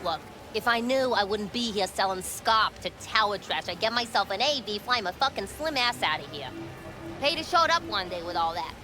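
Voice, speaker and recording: An actress playing a sarcastic, foul-mouthed market food vendor in a video game, blunt and slangy. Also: female voice, mid-range voice, expressive, normal speed, close to an everyday voice. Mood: sardonic. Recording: music behind the voice.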